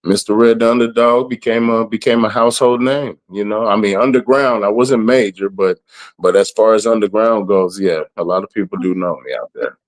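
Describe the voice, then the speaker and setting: A raspy man in his thirties from the southern United States, talking about his music in a relaxed, rambling way. His voice sits a little low, and he often repeats words and says "you know" as he goes. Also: moderate pace. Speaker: rapper and event planner. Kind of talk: casual interview.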